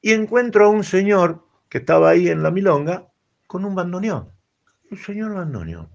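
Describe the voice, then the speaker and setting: An Argentine man, a tango singer, telling an anecdote in an animated, casual way on a radio talk show. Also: sits high, moderate pace, gravelly. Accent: Argentine Spanish. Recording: dull lo-fi radio audio.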